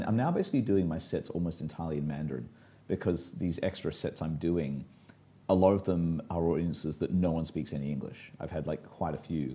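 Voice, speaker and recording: A man co-hosting a casual talk, telling stories in an articulate, wordy way with animated emphasis. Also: sits low. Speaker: magician, podcast co-host. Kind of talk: casual conversational storytelling.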